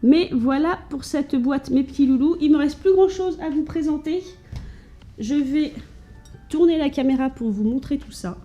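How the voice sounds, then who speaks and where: Chatty French woman with a warm, mellow voice. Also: sits high, normal speed, highs cut off, soft background music. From France. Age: adult with children. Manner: chatty, hesitant, lots of fillers and restarts. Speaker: vlogger showing thrift finds. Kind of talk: casual chat to camera. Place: at home.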